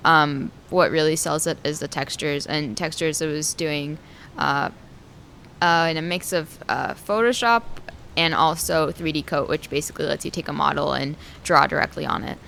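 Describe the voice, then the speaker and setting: A young woman who grew up in the United States, answering interview questions as a game artist in a soft, hesitant way with many pauses. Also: deep voice, vocal fry, a little hiss or hum. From Israel, raised in the United States.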